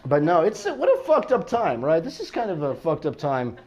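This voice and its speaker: An American man works the crowd as a stand-up comedian. His voice sits high for a man and swings widely in pitch. He stumbles, restarts and chuckles through his banter. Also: huge pitch swings, muffled recording, background noise. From United States. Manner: halting, self-interrupting banter, often chuckling.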